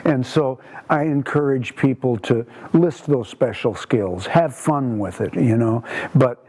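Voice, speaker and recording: A man speaks slowly in a documentary interview, unhurried and conversational. He is thoughtful, checking in with his listener as he goes. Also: medium pitch, a little mellow.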